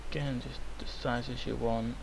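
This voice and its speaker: A young man, hoarse and croaky from illness, rambling with many ums through a software tutorial. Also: mid-range voice, level tone, measured pace. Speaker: tutorial maker. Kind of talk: screen-recorded software tutorial. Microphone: cheap microphone. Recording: faint hiss, cheap mic.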